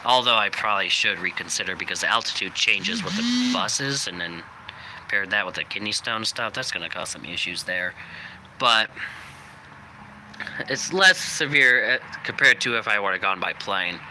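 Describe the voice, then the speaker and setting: A young man hosting a channel, giving a rambling casual update with many fillers, his voice slightly raspy from coughing. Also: lowish voice, very animated, moderate pace, pushed and bright. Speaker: channel host. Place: outdoors, people walking by. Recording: noisy outdoor recording.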